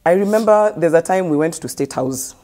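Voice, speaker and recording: A Kenyan woman recounting her upbringing in an earnest, storytelling way, slipping between English and street slang. Her voice sits in a mellow, unhurried middle register, with a warm, dark tone. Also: fairly low pitch, animated. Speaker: guest. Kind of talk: conversational life story.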